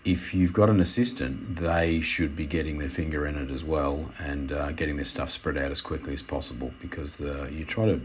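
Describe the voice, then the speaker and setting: A man giving an unscripted how-to commentary as an instructor, speaking in a halting way and often correcting himself. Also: very deep voice, fairly even intonation, slight background noise. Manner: hesitant, halting, self-correcting.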